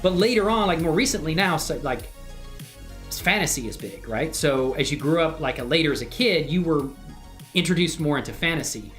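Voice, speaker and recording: A man's voice: on the high side, lively intonation, a little fast, fairly bright tone, faint music behind. A middle-aged man chatting informally and enthusiastically as he hosts a casual livestream demonstration. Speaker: livestream host and hobby animator.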